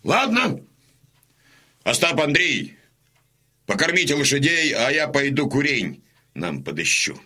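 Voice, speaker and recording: A husky old Cossack warrior, hot-headed and stubborn. His delivery is blunt, forceful and widely swinging in pitch, pressing each point in radio drama style. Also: sing-song, unhurried, pauses often, full character voice. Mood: grudging, defiant. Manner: blunt, insistent, emphatic. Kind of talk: audio drama acting.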